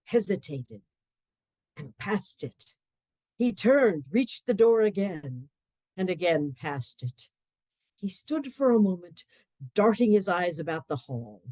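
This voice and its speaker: A woman narrating a radio play in a wry, expressive storytelling manner, dropping to hushed asides. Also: fairly low pitch, no echo.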